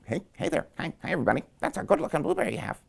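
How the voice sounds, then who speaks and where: A friendly storybook rabbit, put on by a man, chirpy and excitable. The pitch swoops widely, jumping up high as it talks. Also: very fast, vocal fry, exaggerated voice. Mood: eager.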